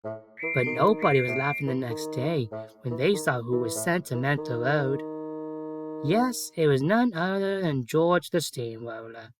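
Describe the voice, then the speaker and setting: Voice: medium pitch, lively intonation, a little slow, low-quality recording. A narrator reading a scripted children's story, with music playing behind.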